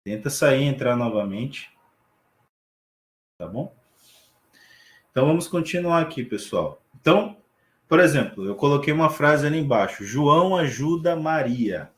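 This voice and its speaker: A man, teaching a class live over a video call, explaining calmly and checking in with his students often. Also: fairly high pitch, normal speed, pauses often, echoey room. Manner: relaxed explaining with frequent check-ins to students. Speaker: sign language teacher. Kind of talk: online class lecture.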